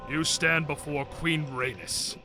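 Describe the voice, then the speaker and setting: A man voicing a dutiful, pompous royal dragon attendant in an animated fantasy, formal and declamatory. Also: fairly high pitch, slow, soft background music, exaggerated voice. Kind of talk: animated fantasy drama acting.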